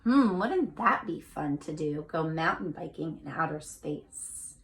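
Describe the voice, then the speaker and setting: A woman reading a picture book aloud to children, speaking to them directly with animated, drawn-out emphasis on key words. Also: low voice, a little hiss or hum. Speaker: read-aloud storyteller for children.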